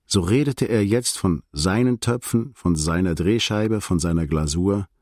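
An actor playing a reflective male prosecutor, narrating a literary radio drama in a measured, detached, observant way. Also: low-pitched, darkish tone, no room sound, studio quality. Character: reflective male state prosecutor recounting a visit to friends.

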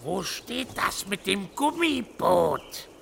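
An actor voicing a fussy, confused talking raven in a children's audio play, croaky and squawking, with a flustered, grumbling manner. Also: male voice, high-pitched, very animated, slow pace, a bit bright, slight background noise, full character voice. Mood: flustered, indignant.

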